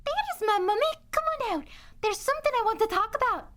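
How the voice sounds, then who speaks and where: A woman voicing a plucky, bubbly young female godling who talks in an eager, excitable way. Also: very high-pitched, quick pace, pitched above the performer's own voice. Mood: excited.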